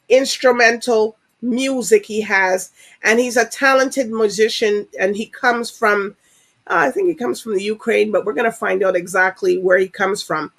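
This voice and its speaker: A talk show host chats loosely and rambles through an introduction, often stopping to rephrase. The delivery is casual and unscripted, in an everyday middle pitch. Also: a bit bright. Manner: chatty, rambling, laughs often.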